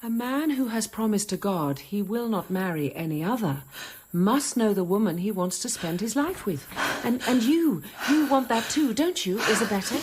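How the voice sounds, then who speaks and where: A caring nun of a historical convent in a radio play. She speaks in a mid-range, slightly breathy voice, gently persuading and soothing as if nursing someone fragile. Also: medium pitch, lively intonation, moderate pace. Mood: eager, coaxing. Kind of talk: radio drama acting and first-person narration.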